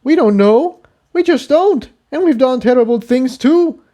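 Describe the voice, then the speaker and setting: A man's voice: extremely high pitch, lively intonation, unhurried, mellow, pitched above the performer's own voice. A man voicing an anguished, angry young male unicorn pony in a fantasy story, agitated and argumentative. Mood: angry, bitter.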